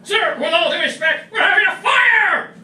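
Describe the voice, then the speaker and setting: Panicky, stammering starship officer actor performing, piercingly sharp and urgent, roomy live stage radio play recording. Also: extremely high pitch, animated, normal speed, heavily acted. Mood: frantic exasperation. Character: panicky, cowardly spaceship first officer, frantic. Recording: distant mic in echoey room, faint noise.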